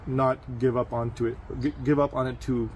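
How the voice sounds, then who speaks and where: A man being interviewed outdoors, answering in an earnest, reflective way with frequent pauses and fillers. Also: medium pitch, flat delivery, darkish tone, slight background noise. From United States. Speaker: interviewee, biomedical engineer.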